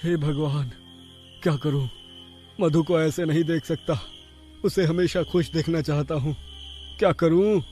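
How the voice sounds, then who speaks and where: Man acting an anxious, high-voiced devoted father. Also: fairly even intonation, unhurried, long pauses, warm tone, soft background music, natural voice. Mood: distressed. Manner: emotional, pleading. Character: anxious, devoted middle-aged father, humble and pleading. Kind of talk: dramatic Hindi animated story acting.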